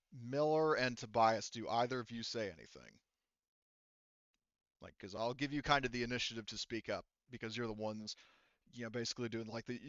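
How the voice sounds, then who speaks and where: Clear-voiced man running a game, echoey room. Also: mid-range voice, a bit bright, highs cut off. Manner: halting, explanatory. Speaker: game master. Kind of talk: narrating a tabletop roleplaying game.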